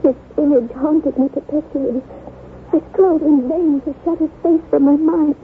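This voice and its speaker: Lady acting frail guilty wife in old radio play, high voice, solemn and mournful, thin phone-like sound. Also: fairly even intonation, normal speed, lightly acted. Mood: tormented confession. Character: frail, guilt-ridden young wife on her deathbed. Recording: tinny, noisy vintage radio recording.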